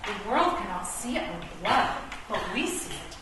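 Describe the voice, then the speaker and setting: A woman's voice: mid-range voice, big pitch swings, a little slow. A woman acting a bookish, brooding chess pawn with a poet's soul in a comic radio play, with pompous, precise, theatrical delivery. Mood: wounded, soulful. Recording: roomy, distant, noisy stage recording.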